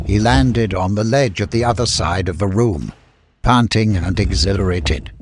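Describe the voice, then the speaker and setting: A man narrates fantasy adventure fiction in a fairly low voice. The reading is scripted and dramatic, in audiobook style. A slight noise sits behind the voice.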